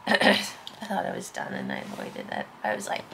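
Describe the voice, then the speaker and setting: A young woman vlogging at home, hoarse and congested from illness, talking about it in a plain, tired way. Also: rumbling low, wildly swooping pitch, moderate pace, fairly bright tone, a little hiss or hum. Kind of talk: casual chat. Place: living room at home.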